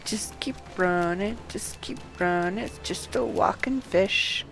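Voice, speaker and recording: A woman game streamer with plenty of vocal fry. She talks at an unhurried pace, casually narrating what she does and muttering repeated little phrases to herself. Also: medium pitch, soft background music, rough recording. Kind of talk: casual gameplay narration and quest reading.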